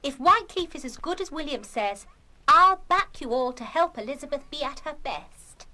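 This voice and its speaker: A woman's voice: high-pitched, lively intonation, normal speed, sharp tone, heavily acted. An actress playing a fair-minded, decisive schoolgirl leader in a radio play, earnest and persuasive. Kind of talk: full-cast children's radio play acting.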